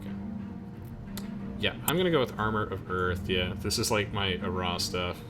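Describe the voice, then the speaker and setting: Low-voiced young man over game music. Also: normal speed. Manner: chatty, explanatory, informal slang. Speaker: gamer hosting a let's play. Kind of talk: casual gameplay commentary. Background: video game music.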